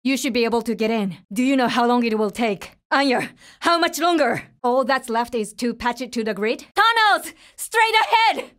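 Tough, high-voiced young survivor, urgent. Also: female voice, lively intonation, normal speed, a bit bright. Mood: urgent, alarmed. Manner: terse, urgent, businesslike. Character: tough young Japanese woman, zombie apocalypse survivor, urgent and determined. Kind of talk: video game voice lines, short dramatic callouts.

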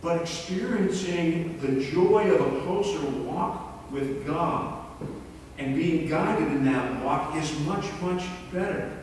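A middle-aged male pastor delivers an earnest, measured sermon at a slow, deliberate pace. His voice sits a little high for a man. It is caught from far back in a large sanctuary, with a long, ringing reverb. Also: darkish tone, slight background noise. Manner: earnest, measured preaching with repeated words. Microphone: far camera in the pews.

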